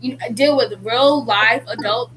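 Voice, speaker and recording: A woman's voice: on the high side, unhurried, a bit bright, a little hiss or hum, rough recording. A woman in her twenties chatting casually, hesitant and leaning on fillers as she makes her point. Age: 20s. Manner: hesitant, full of fillers and restarts. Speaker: viewing-party panelist. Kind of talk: casual group chat.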